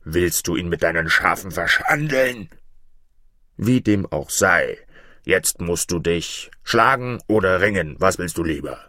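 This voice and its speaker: A proud many-headed dragon from a fairy tale, acted out by a male storyteller. The voice is very low and drawn out, with swooping, threatening challenges in a dry, close sound. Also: animated, a little slow, bright, forceful tone, no echo, full character voice. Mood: menacing.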